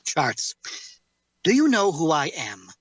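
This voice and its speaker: Man playing a bright-voiced, formal cursed warrior. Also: a little high, wildly swooping pitch, takes it slowly, husky, dry recording, heavily acted. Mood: probing, composed. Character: formal, guarded male cursed warrior, enigmatic and courteous. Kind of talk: audio drama table read.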